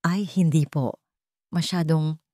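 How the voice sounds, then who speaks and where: A woman's voice: low voice, steady tone, slow, a little mellow, no room sound, studio quality. A woman voicing a shy, timid young maid who speaks hesitantly and deferentially.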